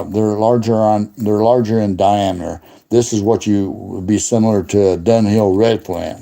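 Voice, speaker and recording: A man with a deep voice chatting casually and in a folksy, colloquial way as he hosts a hands-on demonstration of lighter repair. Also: mellow, a little hiss or hum. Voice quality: gravelly. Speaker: host of a lighter repair livestream.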